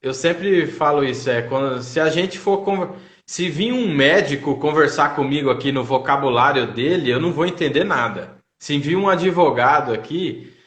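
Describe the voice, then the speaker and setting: A man's voice: on the high side, normal speed, hardly any pauses, a bit bright, reverberant. A man with a Brazilian accent, a native Portuguese speaker, chatting casually and telling anecdotes in colloquial speech as host of a livestream. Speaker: host of a language-learning livestream. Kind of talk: casual chat. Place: indoors, online live video call.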